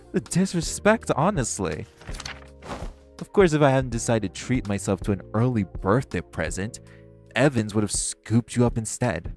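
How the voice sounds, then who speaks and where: A man playing a smug, hungry, flirtatious vampire in an audio roleplay, speaking with teasing theatrical flair in a gravelly voice. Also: medium pitch, expressive, moderate pace, soft background music, lightly acted. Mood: mock offended. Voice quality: gravelly, creaky voice. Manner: teasing, theatrical, swearing, sighing. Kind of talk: audio roleplay monologue to the listener.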